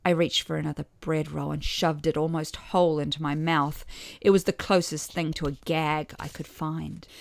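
A woman reading a scripted first-person short story with wry expression, letting some phrase endings drop softly. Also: deep voice, fairly even intonation, normal speed, gravelly, dry recording, studio quality.

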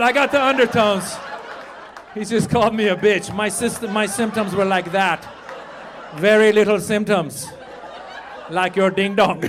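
A middle-aged man doing a stand-up comedy set, chatting with the crowd, shouting his punchlines and jumping into put-on voices. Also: sits high, slight background noise. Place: comedy club stage before a live audience.

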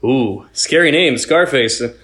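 A man's voice: mid-range voice, expressive, a little slow, bright, forceful tone. A man playing a flustered TV news anchor in a parody newscast, announcing and then muttering hesitantly. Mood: puzzled, flustered. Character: flustered male TV news anchor, easily thrown off.

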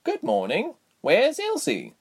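Anxious, headstrong young storybook heroine, high and insistent; a male reader puts her on. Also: wildly swooping pitch, slow, husky, heavily acted. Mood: alarmed.

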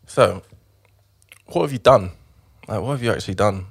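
Raspy, playful male podcast host. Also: on the low side, normal speed. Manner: playful, laughs often, teasing. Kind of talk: casual podcast interview.

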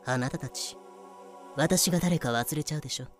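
A man's voice: a little high, brisk, soft background music, lightly acted. A man voicing a casually cruel pirate captain who talks in nonchalant, feminine phrasing in a fan-made voice drama. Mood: cool, menacing.

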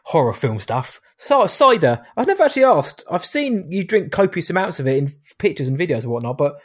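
A man in his thirties with a British accent, hosting a podcast in a chatty, jokey way, his voice light rather than deep. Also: big pitch swings, a little fast, husky. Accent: British English. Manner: chatty, jokey, laughs often. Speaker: podcast host. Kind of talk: casual chat.

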